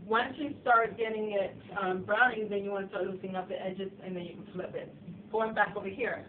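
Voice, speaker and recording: A slightly hoarse woman chatting loosely through a cooking demonstration in her home kitchen. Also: medium pitch, steady tone, a little fast. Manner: chatty, loose, with fillers and restarts. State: slightly hoarse, getting sick. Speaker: cooking show host. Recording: distant, tinny and noisy home video.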